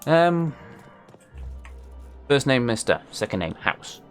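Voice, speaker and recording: A man's voice: mid-range voice, very animated, slow, soft background music. Man, let's play host, casual commentary, wry, reads text aloud.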